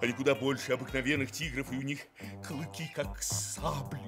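A man playing a big, timid, good-hearted cowardly lion in an expressive children's radio play. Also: mid-range voice, a little fast, over music, close to an everyday voice. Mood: fearful, explaining.

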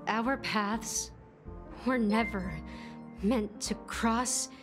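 A woman playing a timid, lovesick young woman in a video game, plaintive and halting, with music behind her. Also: fairly high pitch. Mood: wistful, self-pitying. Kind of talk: video game character acting.

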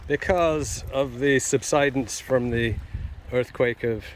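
A man giving impromptu walking-tour commentary outdoors in short, halting, matter-of-fact phrases. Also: mid-range voice. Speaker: travel vlogger. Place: outdoors at open seaside ruins. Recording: outdoor phone recording with ambient noise.

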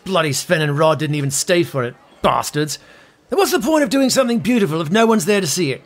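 Swooping high-pitched British washed-up rock star. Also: male voice, very animated, brisk, soft background music, heavily acted. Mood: bitter, sardonic. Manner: theatrical, sweary, boastful. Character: washed-up middle-aged male rock star, brash and boozy. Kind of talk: comedy audio drama acting.

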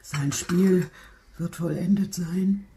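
An actress playing a sinister, domineering, scornful nurse in a radio drama, with an ominous, commanding delivery. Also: female voice, on the low side, takes it slowly, dark tone, slight background noise. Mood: hushed, ominous. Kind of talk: German radio drama acting.

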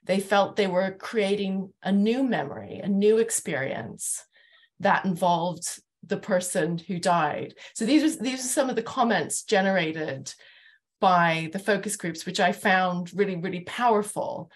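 A woman's voice: medium pitch, measured pace. A middle-aged woman moderating a reflective panel discussion on a video call from home. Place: living room at home, indoors.

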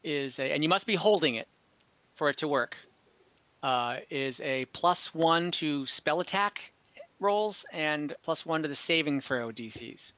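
A game master with a bright, forward voice at a middling pitch explains rules and items in an online tabletop session. The delivery is halting and careful. The sound is dull, lo-fi call audio. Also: lively intonation, no room sound. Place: video call from home.

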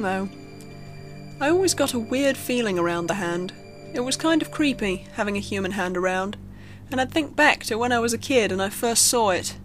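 Woman reading a creepypasta, expressive storyteller, music playing behind her. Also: mid-range voice. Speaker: creepypasta narrator. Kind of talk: scripted horror story narration. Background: background music.

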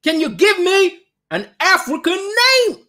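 A man doing a loud, excitable American dude. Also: takes it slowly, exaggerated voice. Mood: excited. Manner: shouting, high-pitched and pumped up.

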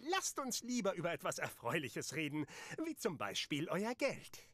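A man's voice: a little high, huge pitch swings, moderate pace, fairly bright tone, no echo, exaggerated voice. An actor playing a pompous, condescending male banker in a game. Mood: smug. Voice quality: pompous, patronizing. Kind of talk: game voice acting.

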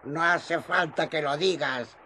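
Bright-voiced, gossipy old widow. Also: female voice, low voice, a little slow, slight background noise. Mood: teasing, gossipy. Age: older. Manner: lamenting, gossipy, cackling. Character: grumbling, teasing old widow who sells pastries. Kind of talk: radio drama acting.

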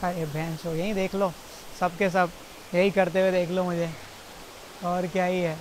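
A man's voice: sits high, level tone, unhurried, a little hiss or hum, poor audio. Awkward young man rambling outdoors. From India. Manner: awkward, self-mocking, swears freely. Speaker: stand-up comedian. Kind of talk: rambling outtakes to camera, then stand-up set. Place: outdoors by a jungle stream.

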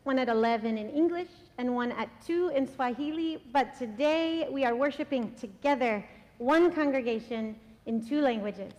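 A middle-aged woman pastor giving the welcome and announcements at a church service, speaking warmly and laughing between sentences. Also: high voice, normal speed. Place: large church sanctuary. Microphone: stand microphone at a lectern. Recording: long church reverb.

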